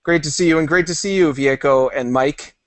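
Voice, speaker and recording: A man's voice: fairly high pitch, a little fast, no room sound. A man hosting a casual interview, asking upbeat, curious questions. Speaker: interview host.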